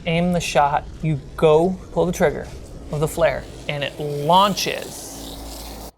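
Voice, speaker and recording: A woman's voice: deep voice, fairly even intonation, takes it slowly, a little hiss or hum. A woman game master narrating and refereeing a tabletop role-playing game.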